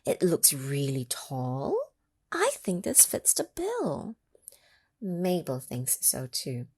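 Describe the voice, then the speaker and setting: A woman reading a picture book aloud to children, pausing to ask them questions and sometimes dropping to a whisper. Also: deep voice, slightly rough, no room sound, clean studio sound. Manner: animated, asks the listening children questions; drops into whispers for effect. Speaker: children's story reader. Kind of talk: read-aloud storytime for children.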